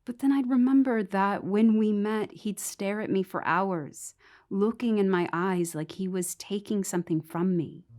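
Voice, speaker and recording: A woman's voice: mid-range voice, measured pace, a little mellow, close to an everyday voice. An actress playing a brooding, insecure woman who narrates her troubled romance as an intimate, bitter, haunted ghost story monologue. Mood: brooding, wounded. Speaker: narrator and lead character of a horror audio drama. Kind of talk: dramatic first-person ghost story monologue. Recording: music behind the voice. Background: musical underscore.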